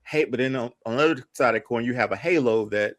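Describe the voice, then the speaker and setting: A man's voice: mid-range voice, fast talker. A man chatting casually as a podcast panelist, slangy and emphatic, often repeating his phrases for weight.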